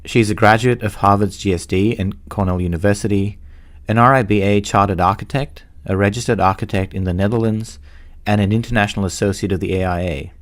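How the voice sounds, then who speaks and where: Low-voiced man hosting a podcast. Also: steady tone, quick pace. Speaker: podcast host. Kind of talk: scripted introduction and interview.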